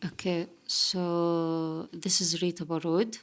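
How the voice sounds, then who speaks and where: Slow-spoken young woman, reflective interview. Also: fairly low pitch, level tone. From Gaza. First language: Arabic. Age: 20s. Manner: halting, reflective, with soft laughs. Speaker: journalist. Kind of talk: conversational interview.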